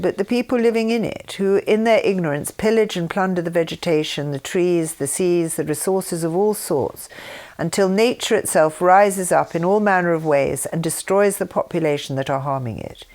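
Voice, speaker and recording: Low-voiced woman reading aloud. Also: a little mellow. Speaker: reader of her mother's writings. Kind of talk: reading aloud from a written text.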